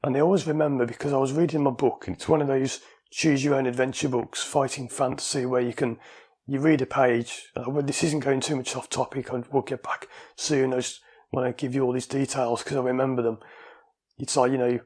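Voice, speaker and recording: A man's voice: medium pitch, flat delivery, brisk, a little mellow, breathy edge. A man from the UK talking casually to camera in a vlog, chatty and rambling, often correcting himself mid-sentence. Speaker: vlogger.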